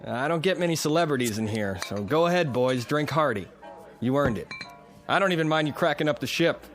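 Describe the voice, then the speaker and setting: An actor playing a hearty, chatty working-class bartender in a radio drama, with folksy, slangy delivery. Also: male voice, fairly high pitch, lively intonation, fairly quick, soft background music, natural voice. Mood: hearty, welcoming.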